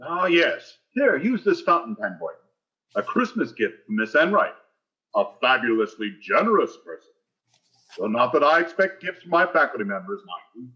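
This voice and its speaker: An actor playing a pompous, blustery, grasping older school principal in a vintage radio sitcom style. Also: male voice, mid-range voice, sing-song, moderate pace, sharp tone, dull audio. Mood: jovial, self-important.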